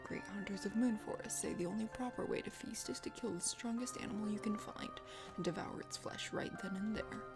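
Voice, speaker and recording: A proud young hunter prince from a visual novel, acted by a woman, speaks with a gravelly creak. He is lordly yet bashful, often halting over his words. Music plays clearly underneath. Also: mid-range voice, voice pushed down, close to an everyday voice. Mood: haughty.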